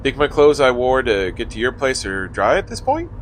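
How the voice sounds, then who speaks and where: A man's voice: mid-range voice, big pitch swings, moderate pace, slight background noise, lightly acted. A man playing an indignant, blunt buddy in an audio comedy-drama, with an exasperated, emphatic delivery. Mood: wry, upbeat. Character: indignant, blunt young man, loyal griping buddy Stu.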